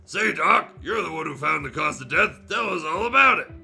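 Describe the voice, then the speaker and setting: A man acts out a brash dinosaur barkeep from a read-aloud story. The voice is hard and very forceful, with lively swings in pitch. He sounds jovial and utterly callous. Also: moderate pace, creaky, breathy edge, faint music behind, exaggerated voice.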